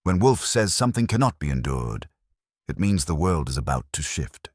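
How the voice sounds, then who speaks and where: Male audiobook narrator, very low pitch, husky, animated intonation, detective story. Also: normal speed, dry recording, clean studio sound.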